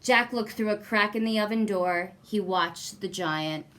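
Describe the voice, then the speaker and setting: A woman reading a children's storybook aloud expressively, with deliberate emphasis and repetition for young listeners. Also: medium pitch, a bit bright, slight background noise. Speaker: guest story reader.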